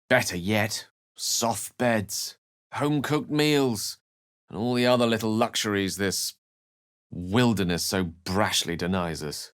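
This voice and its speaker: An actor playing an eloquent, theatrical and wry human wizard in a fantasy video game, with articulate, literary phrasing. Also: male voice, fairly low pitch, dry recording, studio quality. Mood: thoughtful, eloquent.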